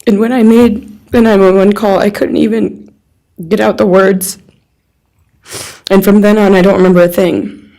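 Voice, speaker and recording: Creaky-voiced young woman reading aloud. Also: mid-range voice, fairly even intonation, normal speed, pauses often, mellow, poor audio. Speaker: friend reading a victim's statement on their behalf. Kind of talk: reading a written personal statement aloud. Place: courtroom.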